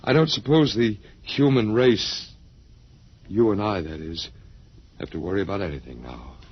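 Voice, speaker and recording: An actor playing a wry, resigned human captive in a radio drama, sardonic and hesitant in a conversational way. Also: male voice, fairly low pitch, moderate pace, husky. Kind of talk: old-time radio drama acting. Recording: muffled old radio drama recording.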